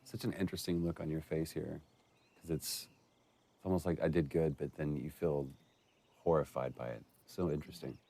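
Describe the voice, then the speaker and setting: A man's voice: extremely low pitch, steady tone, moderate pace, mellow. A man giving casual, unscripted commentary in an appreciative, enthusiastic manner. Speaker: commentary participant.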